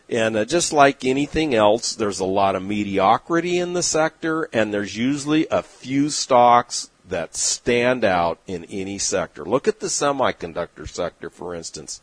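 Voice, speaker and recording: Middle-aged male fund manager, husky, opinionated and folksy; dry, close recording. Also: mid-range voice, no room sound. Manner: plain-spoken, opinionated, folksy, many "uh"s. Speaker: investment manager and newsletter writer. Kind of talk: direct-to-camera market commentary.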